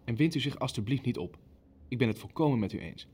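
Nazi chairman, audio drama, husky voice, medium pitch, formal, clipped, authoritative. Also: lively intonation, fast talker, darkish tone. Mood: firm, controlled. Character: controlling, coolly authoritative senior Nazi official chairing a meeting.

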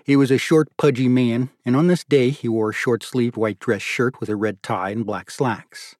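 A man's voice: mid-range voice, fairly even intonation, normal speed, a little mellow, dry recording, clean studio sound. A man narrating literary fiction as a scripted audiobook.

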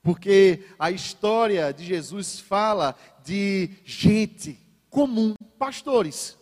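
Brief: male Brazilian pastor, high voice, sermon delivery with wry asides, hall reverb. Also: lively intonation, drawn-out words, a little mellow. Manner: varies pace for emphasis, ironic asides to the congregation. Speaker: preacher.

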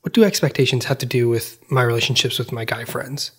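A man hosting a podcast, narrating in a measured, reflective and personal way. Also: mid-range voice, fairly even intonation, slightly rough. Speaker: podcast host.

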